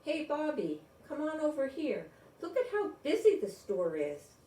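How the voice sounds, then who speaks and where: A woman's voice: high-pitched, huge pitch swings, unhurried, mellow, roomy sound, recorded from a distance, voice pushed up, full character voice. A woman voicing a helpful young boy, a loyal friend in a children's storybook. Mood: eager, helpful.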